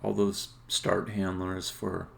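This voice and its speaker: Middle-aged male developer-streamer, heavy vocal fry, unhurried pace, casual but opinionated delivery with emphatic stresses. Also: low voice, completely flat delivery, a little hiss or hum. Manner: rambling, opinionated, halting then emphatic. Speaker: indie game developer, streamer. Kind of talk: casual live-coding commentary.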